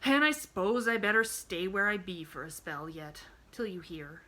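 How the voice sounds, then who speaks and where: A woman's voice: medium pitch, animated, normal speed, pushed and bright. A woman voicing a plain-spoken poor boy with a drawling rustic way of talking. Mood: wistful.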